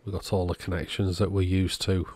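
A man's voice: low voice, completely flat delivery, fairly quick, warm tone, no room sound. A man narrating a repair job in a casual, matter-of-fact, understated way. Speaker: host of electronics repair channel.

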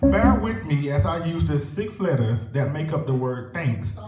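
Young Southern man preaching from the pulpit, slightly high voice, roomy church sound, organ behind. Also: sing-song, a little slow. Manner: rhythmic, building call-and-response preaching. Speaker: minister preaching a sermonette. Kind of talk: sermon with prayer. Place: church sanctuary. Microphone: stand mic at pulpit, filmed by far camera. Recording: echoey church from far off. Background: organ music.

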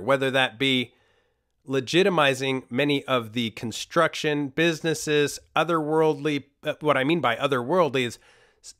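A man from Costa Rica delivers an emphatic monologue. His voice sits a little high and moves a lot, leaning in with each claim. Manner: animated, emphatic, conspiratorial. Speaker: podcast host. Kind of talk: monologue commentary.

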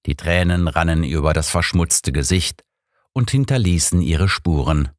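A man narrating a scripted audiobook story in the first person, expressive and measured. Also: low voice, no room sound, studio quality.

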